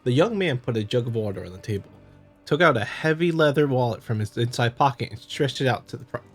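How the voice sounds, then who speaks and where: A man with a mid-range voice presents a visual novel playthrough. He moves between narration and casual commentary, often halting and stumbling, over faint background music. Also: medium pitch, expressive.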